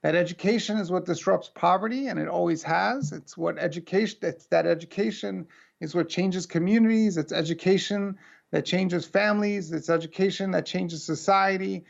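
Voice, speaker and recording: An American man speaks over a video call, his voice strongly gravelly yet pitched a little high. The call audio is muffled and lo-fi. He talks to the audience in an earnest, conversational way. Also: normal speed. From United States. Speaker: keynote speaker. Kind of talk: remote keynote talk.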